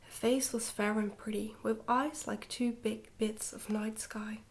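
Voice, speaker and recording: A woman reading a storybook in a soft-spoken ASMR style, slipping often into a whisper, with a gentle, hushed delivery. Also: a little high, steady tone, unhurried. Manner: gentle, hushed delivery with some stumbles over words. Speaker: ASMR reader, channel host.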